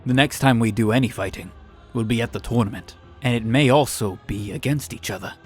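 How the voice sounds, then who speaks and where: A man voicing a calm, wary martial arts hero in a comic dub, measured and earnest, with a husky voice. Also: medium pitch, faint music behind, natural voice. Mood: cautioning. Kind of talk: amateur comic dub voice acting.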